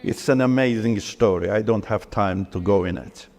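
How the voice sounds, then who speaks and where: A middle-aged man from Iran, a Turkish speaker, giving an earnest personal faith testimony in halting, broken-up phrases. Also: on the low side, darkish tone. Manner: earnest, halting, breaks up sentences with pauses and restarts. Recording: long hall reverb.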